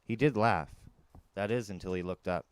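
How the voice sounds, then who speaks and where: Low-voiced man narrating a story. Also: moderate pace, a little hiss or hum. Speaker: audiobook narrator. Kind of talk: first-person story narration, dramatic reading.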